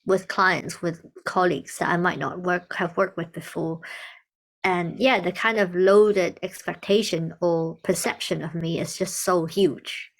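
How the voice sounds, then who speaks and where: Young woman from Hong Kong, music therapist, animated and quick to laugh in casual discussion. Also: fairly low pitch, gravelly, low-quality recording. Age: young adult. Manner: animated, laughs often, acts out quoted remarks. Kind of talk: conversational discussion.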